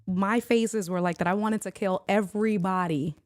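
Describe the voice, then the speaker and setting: A woman's voice: medium pitch, normal speed, no room sound, clean studio sound. A woman with a New York accent hosting a livestream, talking bluntly and animatedly, a little sick and coughing. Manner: animated, blunt, slips into Spanish. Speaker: livestream host. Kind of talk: casual chat.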